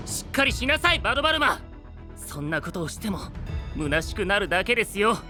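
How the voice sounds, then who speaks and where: An actor playing a composed, sardonic senior disciple who scolds politely and exactingly in an anime-style audio drama. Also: male voice, high-pitched, sing-song, brisk, over music. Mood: stern.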